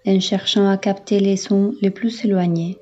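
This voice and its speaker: A woman yoga instructor leading a guided relaxation in a calm, soothing, unhurried manner with long pauses. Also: medium pitch, muffled recording. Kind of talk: guided meditation, yoga nidra.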